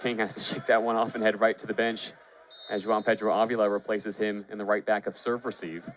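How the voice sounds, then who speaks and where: A man's voice: fairly low pitch, little pitch movement, a little fast, slight background noise. A man calling live sports on the radio as a play-by-play announcer, fluent and descriptive, lifting his voice on big plays. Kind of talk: live sports commentary for baseball and volleyball.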